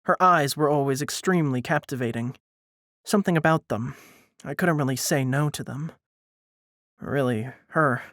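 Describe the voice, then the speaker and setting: A man's voice: mid-range voice, moderate pace, fairly bright tone, no echo, studio quality. A man reading scripted first-person fiction as an audiobook narrator.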